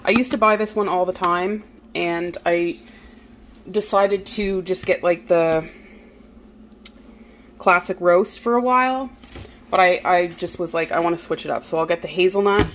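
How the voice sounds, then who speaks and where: A woman talks through her groceries in a slow, easygoing way, pausing with plenty of "um"s. She sounds relaxed and chatty, recorded on a phone in her home kitchen with a faint hiss behind her. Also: on the low side. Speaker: vlogger. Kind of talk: casual grocery haul narration.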